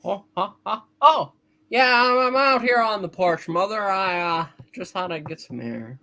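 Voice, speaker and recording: A man acting an earnest, frustrated, excitable young man in a hammed-up play reading, stammering at times. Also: high-pitched, measured pace, heavily acted. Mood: subdued. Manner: hammy, stammering.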